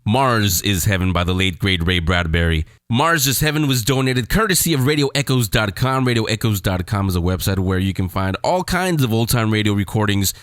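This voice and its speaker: A man's voice: lowish voice, expressive, fairly quick, few pauses, fairly bright tone, no echo, clean studio sound. A man hosting a show, talking casually and off the cuff. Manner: informal, off-the-cuff. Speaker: podcast host.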